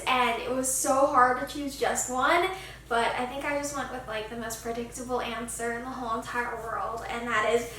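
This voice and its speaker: Woman, high voice, casual chatty vlog, gushing and emphatic, very roomy sound, set back from the mic. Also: a bit bright. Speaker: book vlogger, host. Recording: very echoey room, distant mic, faint noise.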